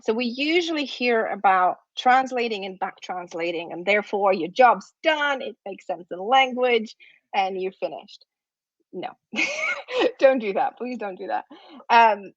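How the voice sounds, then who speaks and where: A woman's voice: a little high, a bit bright, no room sound. A woman whose first language is Russian gives an academic workshop talk on a video call, conversational and often checking in with "right?". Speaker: workshop presenter. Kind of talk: academic lecture. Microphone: computer microphone on a video call. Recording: dull, lo-fi online conference audio.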